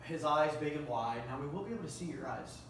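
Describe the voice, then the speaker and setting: A man with a slightly high voice leads a script rehearsal, reading action lines in deliberate, dramatic phrases and giving coaching. He is recorded far from the mic in a very echoey room with faint noise. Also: animated, fairly bright tone. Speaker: director running a table read.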